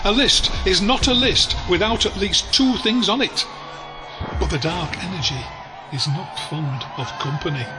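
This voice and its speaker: An actor playing a pompous, absurdly dramatic narrator in a sci-fi audio drama, declaiming every phrase. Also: male voice, high voice, measured pace. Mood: grandiose. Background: music and crowd effects.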